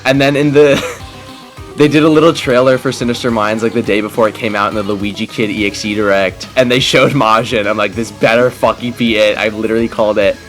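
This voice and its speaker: A man's voice: lowish voice, steady tone, brisk, a bit bright. A young adult man chatting casually and enthusiastically in a rambling way, as a gaming host commentating with friends. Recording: background music under the voice.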